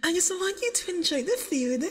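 A woman playing a nervous, clingy, obsessive young femboy boyfriend on a date in an ASMR roleplay, halting and doting, with doubled, reverberant audio. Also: extremely high pitch, sing-song, fairly bright tone, exaggerated voice. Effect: doubled audio with added reverb. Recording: long reverb, doubled voice track.

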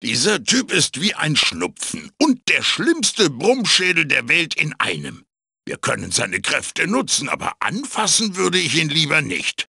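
A man voicing a wise, fussy magical tiki mask mentor in a video game, with a raspy, theatrical delivery. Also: on the high side, huge pitch swings, measured pace, bright, forceful tone, no echo, studio quality, heavily acted. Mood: wry. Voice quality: raspy, gravelly. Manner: theatrical, emphatic, swooping delivery. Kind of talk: German video game voice acting, tutorial hints and quips.

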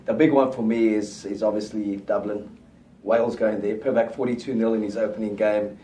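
Middle-aged man, rugby pundit, confident steady delivery, echoey studio, a bit off-mic. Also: fairly low pitch, a little fast, distant mic, slight background noise. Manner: confident, steady, lays out predictions. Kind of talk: casual rugby chat. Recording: roomy studio sound, mic set back.

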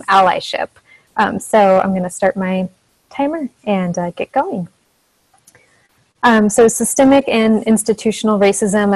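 A soft-spoken young woman, a teacher, giving an informal educational presentation over a video call. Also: mid-range voice, rough recording. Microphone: earphone mic on a video call.

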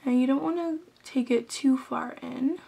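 A young woman in her twenties, hoarse from being sick, chatting through a step-by-step makeup tutorial with plenty of "um"s, recorded in a small bedroom on the camera mic. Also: high voice, moderate pace, dark tone. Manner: chatty, instructive, frequent "um"s. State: hoarse from illness, losing her voice.

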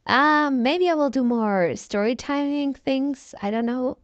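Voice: sits high, unhurried, no room sound, dull audio. A young-sounding voice run through a voice changer, chatting casually in a rambling vlog. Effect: voice changer filter. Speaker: content creator.

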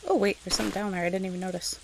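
Creaky-voiced woman narrating her gameplay. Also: mid-range voice, steady tone, racing, noisy background. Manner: rambling, thinking aloud to herself. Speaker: video game streamer narrating gameplay. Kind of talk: casual let's-play commentary.

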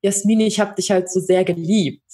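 A young woman from Germany telling her own story in an interview, thoughtful, with many pauses and fillers. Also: medium pitch, fairly even intonation, mellow. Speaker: podcast guest. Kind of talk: personal interview.

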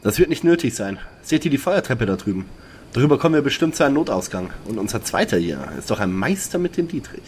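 A man as a lively, practical young detective. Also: medium pitch, fast talker, a little hiss or hum, natural voice. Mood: brisk, practical. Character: practical, eager young detective sidekick, wry and unbothered. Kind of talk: parody detective audio drama.

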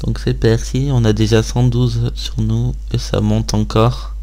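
A man's voice: medium pitch, flat delivery, a little slow, warm tone. A man hosting a gaming video, giving casual gameplay commentary and thinking aloud in an informal way.

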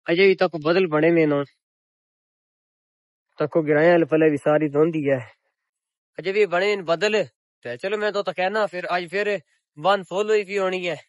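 A man's voice: high-pitched, dry recording. Young Punjabi-accented man vlogging outdoors. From Pakistan. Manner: chatty, addresses viewers as public. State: recovering sore throat. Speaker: village vlogger. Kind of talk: casual selfie vlog. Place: open countryside outdoors on a cloudy, windy day. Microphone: phone held at arm's length.

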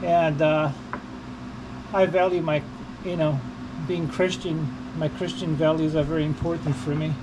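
A man from Portugal, chatting casually in a halting, rambling, unscripted vlog style while he works. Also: fairly high pitch, level tone. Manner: halting, rambling, repeats himself. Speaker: vlogger. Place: working on a car during an oil change. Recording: noisy, with vehicle and ambient hum.

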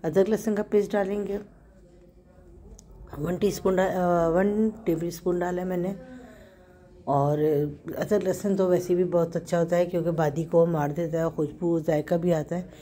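A woman with a Pakistani Urdu accent and a hoarse, sore throat, chatting through a home recipe step by step and correcting herself as she goes. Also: fairly low pitch, fairly even intonation, moderate pace, mellow. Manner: chatty, explains step by step with small self-corrections. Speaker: home cook host. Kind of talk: casual cooking narration.

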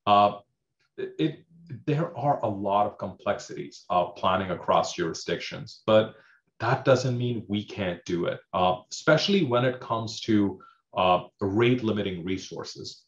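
A man's voice: lowish voice, a little slow. A man whose first language is Hindi, speaking as an emergency management panelist on a video call, explaining with many fillers and checking "okay?". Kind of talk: panel discussion. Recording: roomy, dull video call audio.

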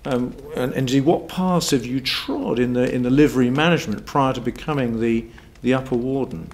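A man's voice: medium pitch, fairly quick. A middle-aged man putting formal, prepared questions as an interviewer. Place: wood-panelled room, indoors.

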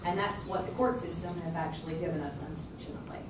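Woman moderator, brisk and informal, distant and echoey, audible room noise. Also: lowish voice. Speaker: meeting moderator. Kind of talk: public meeting, taking questions. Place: large community meeting room, indoors. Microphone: phone camera in the audience. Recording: echoey hall, distant phone mic.

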